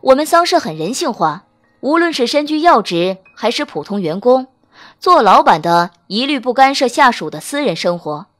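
A proud, sharp-tongued young businesswoman, played by a woman in an audiobook. Her high, lively voice stays crisp and pointed over faint music. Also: moderate pace. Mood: cool, cutting.

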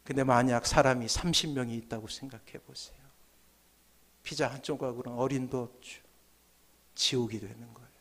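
A man in his forties from South Korea preaching a sermon as a pastor, swinging emphatically between hushed and forceful phrases, at a church pulpit microphone. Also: medium pitch, steady tone, normal speed, pauses often, breathy edge. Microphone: gooseneck podium microphones.

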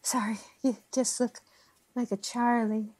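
A woman's voice: fairly high pitch, level tone, a little slow, a little mellow, slight background noise, natural voice. A woman playing a chatty, hospitable small-town host in an audio drama, effusive, folksy and coaxing. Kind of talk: naturalistic audio drama acting.

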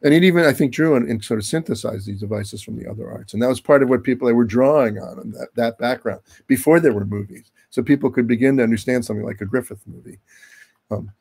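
A man's voice: mid-range voice, fast, gravelly, a little mellow, slightly rough. A man in his sixties giving an extemporaneous academic talk on a video call, discursive and full of hedging asides. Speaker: academic speaker. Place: book-lined study at home, indoors. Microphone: computer mic on a video call.

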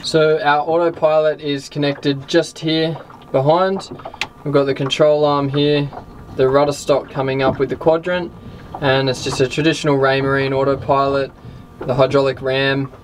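Relaxed man touring his sailboat. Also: mid-range voice, moderate pace, a little hiss or hum. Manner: relaxed, explanatory, many fillers. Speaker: sailing vlog host. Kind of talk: casual boat tour walkthrough. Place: outdoors on a sailboat deck.

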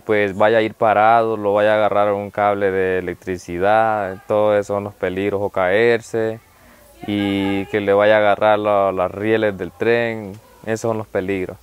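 A man's voice: lowish voice, clean tone. A young man from Honduras telling his story plainly in a documentary interview, indoors. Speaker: migrant. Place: indoor shelter room.

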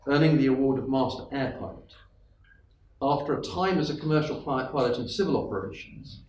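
Middle-aged male panel chair, measured and halting; roomy, distant sound with faint hiss. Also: medium pitch, a little mellow. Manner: measured, with frequent fillers and restarts. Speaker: panel moderator. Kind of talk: formal panel introductions. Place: panelled conference room. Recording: echoey room, distant mic, faint hiss.

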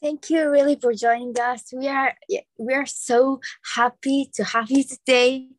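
A young Egyptian woman with an Egyptian accent hosting an interview on a video call, excitable and full of exclamations. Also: high voice, measured pace, rough recording. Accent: Egyptian Arabic. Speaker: host. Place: small room indoors. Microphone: laptop webcam on a video call.

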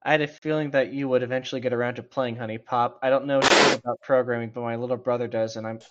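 A man's voice: mid-range voice, fairly even intonation, fast, dull audio, heavy background noise. A man co-hosting a casual read-aloud of a story, with sarcastic, mocking and profane commentary. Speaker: co-host reading a story aloud with running commentary.

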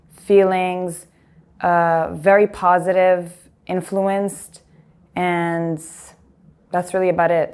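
A woman's voice: lowish voice, a little mellow. A young adult woman from the United States, a musician answering interview questions in an earnest, reflective way. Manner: earnest, reflective, talks with her hands. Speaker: musician guest. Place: studio with blue backdrop, indoors.

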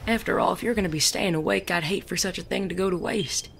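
An actor playing a suave, teasing male gentleman bandit in a Western audio drama, genteel and wry. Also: medium pitch, quick pace, creaky, a little hiss or hum. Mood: dry, teasing. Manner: genteel, wry, polite wording.

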